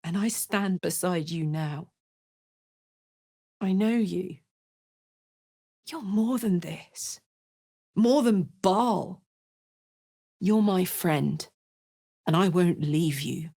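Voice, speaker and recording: A woman playing a brash, warm-hearted tough warrior in a video game, blunt and sweary with a casual swagger and earnest feeling. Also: on the low side, very slow, long pauses, no echo, studio quality, heavily acted. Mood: earnest, heartfelt. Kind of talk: video game character voice lines.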